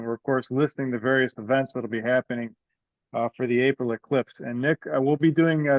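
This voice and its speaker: A man's voice: medium pitch, no room sound. A man leading an informal conference call meeting, speaking conversationally. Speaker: meeting leader. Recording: dry, slightly dull call audio.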